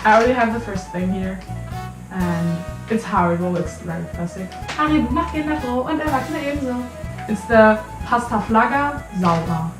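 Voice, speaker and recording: A woman's voice: fairly high pitch, expressive, normal speed. A sixteen-year-old young woman from Germany hosting a casual vlog chat with friends. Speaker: channel host. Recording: echoey room with music underneath.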